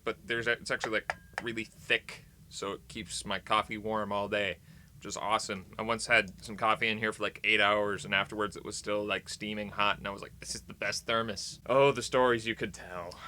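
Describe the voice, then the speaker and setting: A man's voice: mid-range voice, pushed and bright. An American man vlogging in casual, rambling chat to camera, playful and tongue-in-cheek with dramatic emphasis.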